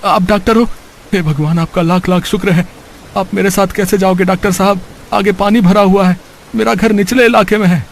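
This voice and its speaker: A man's voice: high voice, steady tone, a little fast, a little hiss or hum. A man playing a poor, anxious village father in a cartoon story, earnest and pleading. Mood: grateful, pleading. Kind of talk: Hindi cartoon story voice acting.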